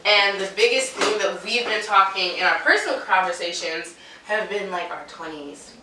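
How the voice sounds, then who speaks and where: A young woman in her twenties chats in an easygoing way and keeps pausing on "um" and "like." Her voice is bright and sharp. She sits somewhat far from the mic, in an echoey room with a faint hiss. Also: medium pitch. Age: 20s. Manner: relaxed and chatty, many fillers. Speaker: podcast co-host. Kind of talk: casual chat.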